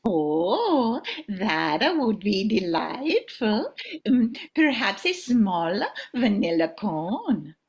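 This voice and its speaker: A woman's voice: fairly high pitch, sing-song, very slow, creaky, darkish tone, full character voice. A woman playing a gracious young Swedish dog owner in an amateur radio play, politely spoken. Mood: friendly, pleased.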